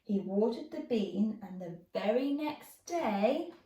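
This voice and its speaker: A woman storyteller reading a rhyming children's tale in an expressive storybook style. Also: medium pitch, slow pace. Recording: roomy, echoey, distant mic.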